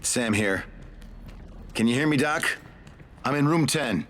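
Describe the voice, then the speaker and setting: A gruff American tough guy, swinging pitch. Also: lively intonation, takes it slowly, fairly bright tone, long reverb tail, a little hiss or hum. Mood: businesslike. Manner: gruff, blunt, confrontational. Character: tough, foul-mouthed, vengeful male action hero. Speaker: game voice actor. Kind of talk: video game cutscene acting.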